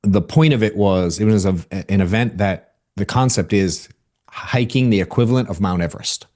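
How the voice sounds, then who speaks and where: Man, 40s, podcast host. Slightly low voice, relaxed and chatty, keeps the talk loose. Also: normal speed. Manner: laid-back, lots of short yeahs, says bro. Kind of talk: casual podcast interview.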